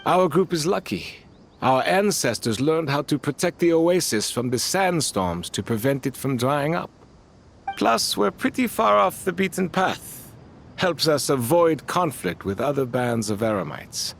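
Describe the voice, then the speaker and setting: An actor voicing a seasoned, fatherly desert band leader, gruff but kind, with a folksy, plain-spoken manner in a video game. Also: a little high, sing-song, unhurried, slight background noise, full character voice. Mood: measured, firm. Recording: studio voice over prominent music. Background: music.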